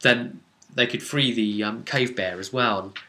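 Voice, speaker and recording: Male game master, mid-pitched and bright, telling an adventure recap in an informal, emphatic, conversational way. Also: measured pace. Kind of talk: casual narrated recap of a role-playing adventure.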